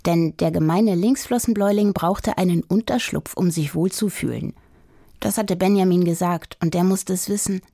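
A woman reading a children's story as an expressive audiobook narrator. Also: on the low side, normal speed, dry recording, studio quality.

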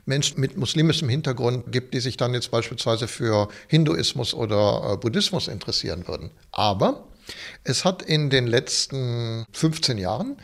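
A man, a religious studies scholar, explaining in an academic, measured way with occasional fillers. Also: medium pitch, vocal fry, a bit bright. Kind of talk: interview soundbite.

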